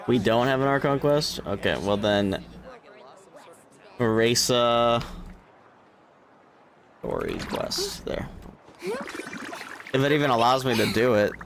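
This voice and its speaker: A man's voice: mid-range voice, wildly swooping pitch, slow pace, long pauses, fairly bright tone. A man giving casual livestream game commentary, informal and self-deprecating as he thinks aloud. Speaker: gaming livestreamer. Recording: music playing behind the voice. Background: music.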